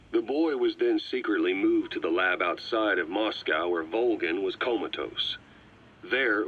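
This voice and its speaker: Low, raspy, grim intelligence officer. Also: male voice, heavily acted. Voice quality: raspy, husky. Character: grim, brooding male intelligence officer giving a secret briefing. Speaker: intelligence officer reading out a report. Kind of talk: dramatic scripted game briefing. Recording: slightly muffled, dull cassette-tape sound.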